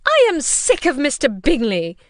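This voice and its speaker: A woman putting on a fretful, excitable mother who talks in high, loud bursts that leap wildly in pitch. She scolds and gushes in exclamations, close and dry in a storybook reading. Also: moderate pace, dry recording. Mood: agitated. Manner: shrill, exclamatory, complaining. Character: fretful, excitable middle-aged mother fussing over daughters.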